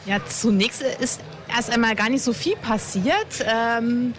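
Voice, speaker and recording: A woman in her forties from northern Hesse answers questions as a guest on live radio at an outdoor festival. Her voice sits fairly high, and she speaks in a halting way, padding her sentences with fillers. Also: crowd noise. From northern Hesse, Germany. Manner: hesitant, with many fillers like "sozusagen" and "ähm". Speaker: interview guest. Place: outdoor terrace at a lakeside festival.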